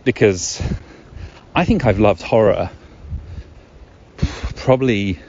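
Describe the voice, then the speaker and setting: A man's voice: lowish voice, animated, slow pace, a little mellow, husky. A middle-aged man, musing alone as a podcast host in a rambling, wry, hesitant way full of wordy asides. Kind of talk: musing solo podcast monologue. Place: outdoors in the woods. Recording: dull lo-fi recording, ambient noise.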